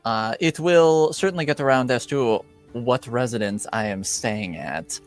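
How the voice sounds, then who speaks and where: Male tabletop player: slow, carefully formal improvised dialogue with pauses, light music underneath. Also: mid-range voice. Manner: formal, measured wording with hesitations. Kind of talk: improvised character dialogue with narration.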